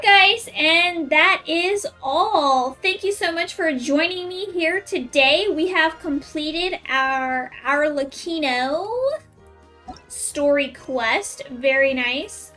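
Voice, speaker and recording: A woman's voice: high voice, bright, forceful tone. A woman streaming a video game, giving casual, informal, off-the-cuff commentary as she plays. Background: faint game music.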